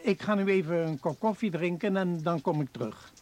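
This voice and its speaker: An older actor in a radio play playing a measured, modest old professor of medicine, avuncular and unhurried. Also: male voice, high-pitched, darkish tone, lightly acted. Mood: collegial.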